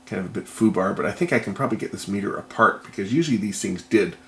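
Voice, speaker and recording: Male workshop vlogger, fry-heavy and fairly deep, musing tentatively through a hands-on repair. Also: lively intonation. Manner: thinking aloud, tentative and unhurried. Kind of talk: casual hands-on repair commentary. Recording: noticeable background noise.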